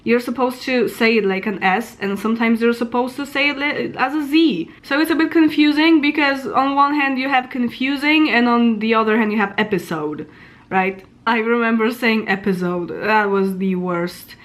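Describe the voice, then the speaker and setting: A woman's voice: a little high, moderate pace. A woman in her twenties with a Polish accent, chatting informally and self-deprecatingly as she muses aloud. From Poland. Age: 20s. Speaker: vlogger. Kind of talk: casual chat about pronunciation.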